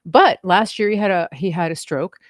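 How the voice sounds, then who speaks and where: A woman's voice: on the low side, moderate pace, no echo, clean studio sound. A sixty-year-old woman hosting a live show in a casual, chatty, unscripted way.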